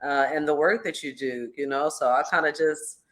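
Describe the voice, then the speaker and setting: A male host with a fairly high voice eases into an introduction. He rambles a little and keeps filling gaps with "um" and "you know". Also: normal speed, a bit bright. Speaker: stream show host. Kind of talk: casual stream interview.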